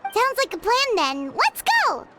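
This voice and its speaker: A woman voicing a tiny, excitable, cheeky fairy companion with a childlike squeak in a video game. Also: measured pace, background noise, exaggerated voice. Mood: perky. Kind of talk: English video game voice acting. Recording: voice over bright game music.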